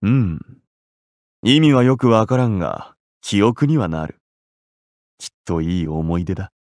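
A man voicing an imposing, earnest warrior in an anime game, solemn and deliberate. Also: on the low side, sing-song, a little mellow, no echo. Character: imposing, earnest, slightly puzzled male polearm warrior.

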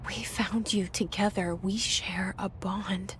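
A young woman's inner monologue performed by an actress, hushed and breathy at a medium pitch. She is wary but determined, taking her words slowly, with clear ambient noise underneath. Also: steady tone, close to an everyday voice. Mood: tense, brooding. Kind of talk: inner monologue in a video game.

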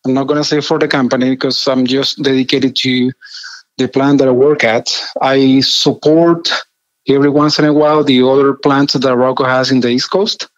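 A man's voice: medium pitch, flat delivery, normal speed, dry recording. Chilean guy in his 30s chatting on a podcast, hesitant phrasing, kinda lo-fi dry sound. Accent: Chilean Spanish. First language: Spanish. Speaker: podcast guest, engineering project manager. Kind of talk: conversational personal storytelling.

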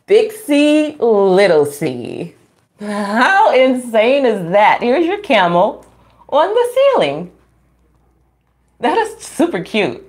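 Very animated, slow-paced woman reading to children. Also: a little high. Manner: animated, exclaiming, sing-song emphasis; addresses young listeners with questions. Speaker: children's storytime host. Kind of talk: read-aloud to young children. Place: small room at home, indoors.

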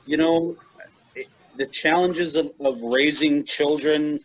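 A man's voice: fairly high pitch, fairly even intonation, takes it slowly, rough recording. A middle-aged American man answering questions on a video call, thoughtful and restarting as he finds his words. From United States. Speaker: guest.

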